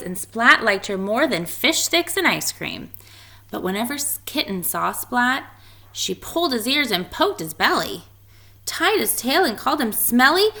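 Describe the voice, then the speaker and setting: A woman reading a children's picture book aloud in an expressive storytelling style. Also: a little high, gravelly. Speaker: story reader.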